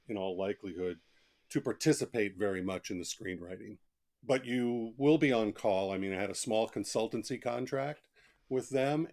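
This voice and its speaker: A man with a fairly low voice talks as an interview guest. He is talkative and conversational, reflecting on his experience as an author. His explanations come in plain, informal asides. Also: a little mellow.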